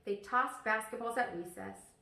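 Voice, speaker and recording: A woman teacher reading a children's story aloud expressively, pausing to ask her listeners questions. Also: on the high side, gravelly, bright, forceful tone. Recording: echoey room, distant microphone.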